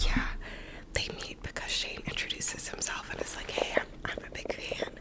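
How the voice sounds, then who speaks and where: A young woman whispering an ASMR ramble, recovering from a cold, chatty and full of "like" and "um". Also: rough recording. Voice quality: soft unvoiced whisper. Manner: rambling, lots of "like" and "um" fillers. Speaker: ASMR creator.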